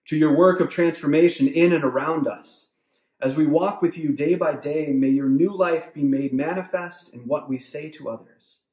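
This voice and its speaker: Earnest pastor in an echoey room. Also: male voice, a little high. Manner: earnest, measured, softening to a hushed prayer. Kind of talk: sermon with closing prayer. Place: home room with bookshelves, indoors.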